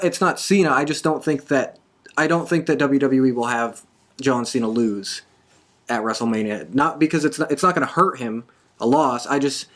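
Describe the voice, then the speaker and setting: A man hosting a podcast, giving predictions in a casual, conversational way as he thinks aloud. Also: medium pitch. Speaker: podcast host. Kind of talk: casual wrestling prediction talk.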